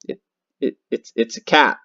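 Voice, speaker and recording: A man reading horror game text aloud in a theatrical, halting way, repeating words and adding his own comments. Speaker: gaming video host. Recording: dull lo-fi sound with loud music. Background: loud guitar music.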